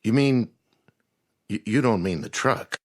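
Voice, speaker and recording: Terse, low-voiced roadside proprietor. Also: male voice, animated, unhurried. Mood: puzzled. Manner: terse, matter-of-fact. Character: plain-spoken, steady, protective roadside business owner. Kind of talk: radio drama acting.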